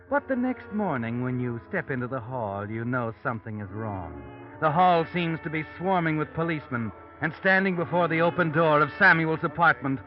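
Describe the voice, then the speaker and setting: A man's voice: a little high, natural voice. A man playing a taunting, all-knowing narrator in a 1940s radio mystery, speaking theatrically to a guilty man. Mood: sardonic, ominous. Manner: taunting, theatrical second-person address. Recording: dull old radio recording, loud music behind.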